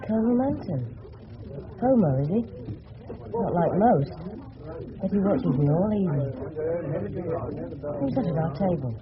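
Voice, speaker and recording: A man's voice: high-pitched, very animated, unhurried, pauses often, crowd noise. An actor playing a polite, inquisitive English schoolboy in his teens in a radio drama. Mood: brooding, private. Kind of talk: radio drama acting with inner monologue. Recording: dull radio recording with music behind.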